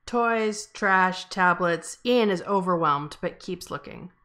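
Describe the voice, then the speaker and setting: Woman, slightly low voice, screenplay narration, stage directions, table read. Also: slow, fairly bright tone. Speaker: stand-in stage directions reader.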